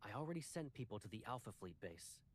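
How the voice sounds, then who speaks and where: An actor playing a guarded, protective young military commander in a dramatic video game. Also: male voice, on the low side, big pitch swings, fast, a little hiss or hum. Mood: terse, serious. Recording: game audio with music underneath.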